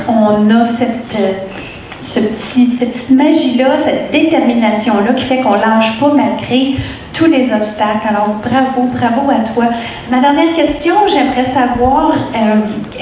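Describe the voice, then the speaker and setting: A woman moderates a panel, encouraging her guest and asking questions. Her voice sits fairly high and sounds distant, ringing through a very echoey room. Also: a little mellow, a little hiss or hum. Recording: echoey room, mic far off.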